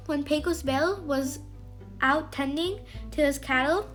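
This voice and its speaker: A woman narrating a scripted children's bedtime story in an expressive, emphatic way. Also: sits high.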